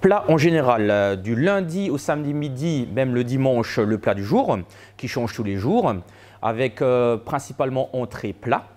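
A man's voice: mid-range voice, animated, moderate pace, a little mellow. A man in his forties, a restaurant owner, plainly listing menus and delivery options in a local TV interview outdoors. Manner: listing menu items and phone details plainly.

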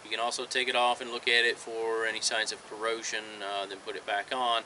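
A man's voice: on the low side, level tone, a little fast, sharp tone, poor audio. A man in his forties giving plain-spoken, matter-of-fact instructional tips to camera, recorded outdoors. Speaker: marine electronics installer giving tips. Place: outdoors in a fenced backyard. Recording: outdoor ambience, faint background noise.

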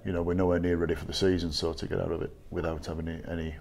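Low, mellow-voiced English coach. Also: male voice, little pitch movement, vocal fry, a little hiss or hum. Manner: measured, halting, with frequent fillers and restarts. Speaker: rugby league head coach. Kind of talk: post-match press conference answers. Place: small press room with sponsor backdrop, seated at table. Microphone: table microphone.

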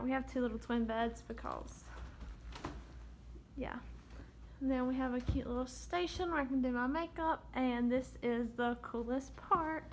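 A woman's voice: fairly high pitch, slight background noise. A young woman, giving a casual, chatty vlog tour on a handheld phone. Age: young adult. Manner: chatty, upbeat, filler-heavy. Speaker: vlogger. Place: rental condo indoors. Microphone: handheld phone at arm's length.

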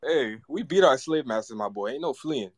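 A man's voice: medium pitch, animated, moderate pace, dry recording, studio quality. A man calling in to a live debate show, confrontational and swearing as he presses his questions. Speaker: caller. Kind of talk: heated call-in debate.

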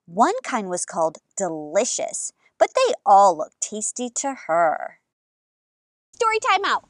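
A woman in her thirties hosting a children's story time, reading and chatting with expressive, exaggerated enthusiasm. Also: mid-range voice, takes it slowly, dry recording, clean studio sound.

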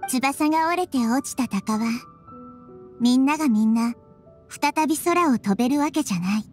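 A woman voicing a young girl goddess, calm, gentle and wise, who speaks softly and with composure. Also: high voice, moderate pace. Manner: soft, composed, reassuring. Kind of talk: Japanese game voice acting. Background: game music.

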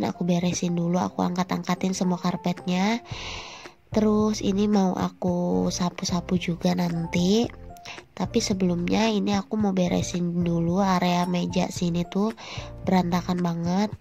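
A woman's voice: lowish voice, dark tone. A young woman with a stuffy, nasal cold voice, narrating her vlog in a chatty way and talking straight to viewers. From Indonesia. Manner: chatty, friendly, addresses viewers directly. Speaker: vlogger and housewife. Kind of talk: casual voice-over vlog narration.

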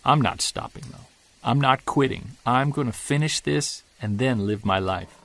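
Mężczyzna grający zmęczonego badacza z chrapliwym głosem. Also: male voice, medium pitch, normal speed, dry recording, lightly acted. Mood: troubled. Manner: confessional, earnest, quietly troubled. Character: weary middle-aged male Bigfoot investigator recording audio diary. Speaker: narrator. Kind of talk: scripted audio drama narration.

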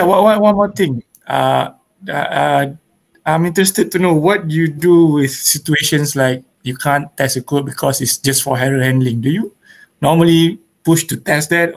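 A man's voice: fairly high pitch, normal speed, gravelly. A man casually hosting an online meetup over a video call. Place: indoors at home on a video call. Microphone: video call microphone.

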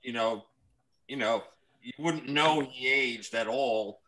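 A man of fifty with a mid-range voice tells an animated story, swearing and quoting himself. He talks over a webcam mic on a casual video call. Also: medium pitch, slow pace, poor audio. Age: 50s.

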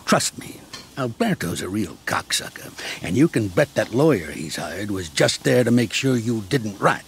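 An actor playing a shrewd, fatherly older American mafia consigliere with a gravelly, streetwise delivery in a video game. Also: on the low side, big pitch swings, moderate pace, a little hiss or hum. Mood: scornful, assured. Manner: streetwise, coarse, patiently explaining. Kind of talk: game cutscene acting.

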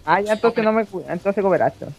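A man's voice: sits high, a little fast, rough recording. A young man with a Chilean accent chatting casually on voice chat during an online game. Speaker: gamer on voice chat.

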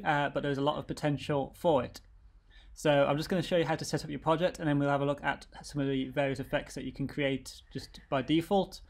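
Man narrating an informal software tutorial. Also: on the high side. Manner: informal, step-by-step explaining. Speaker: tutorial presenter.

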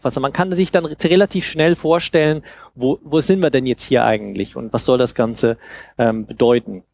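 A man in his thirties giving an informal, explanatory workshop talk into a headset mic, often halting and repeating words. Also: fairly high pitch, a little mellow. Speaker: speaker giving a talk on sound design.

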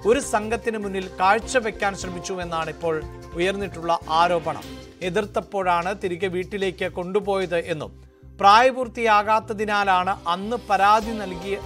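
A man's voice: high voice, fairly even intonation, moderate pace, fairly bright tone. A middle-aged man with a Kerala accent presenting live television news. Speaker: news anchor. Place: TV news studio. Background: news music bed.

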